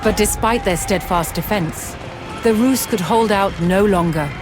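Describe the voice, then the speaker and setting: A woman reading scripted documentary narration in a measured, dramatic storytelling manner. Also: medium pitch. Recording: music under the voice. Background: music.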